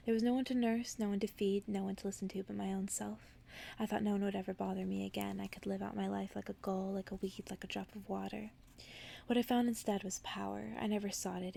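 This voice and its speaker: Woman, ASMR narrator, heavy vocal fry, mellow tone, soft half-whisper, gentle bedtime reading. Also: medium pitch.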